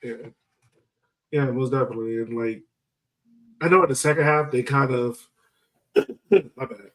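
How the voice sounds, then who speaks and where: A man's voice: medium pitch, unhurried. A man co-hosting a podcast in casual sports chat, speaking in a loose, informal way full of filler words. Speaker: podcast co-host. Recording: distant from the microphone.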